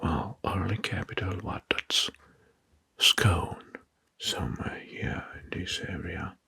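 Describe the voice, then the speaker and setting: A man narrating history as an ASMR host in a breathy whisper, slowly and deliberately, repeating words, close to the mic. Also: low voice, bright, forceful tone. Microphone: close microphone.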